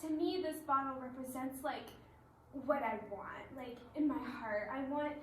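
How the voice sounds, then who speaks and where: A woman's voice: high voice, moderate pace, lightly acted. A woman performing readers theatre as a giddy, hopelessly romantic dating show contestant, gushing with enthusiasm. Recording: echoey room, distant mic, some noise.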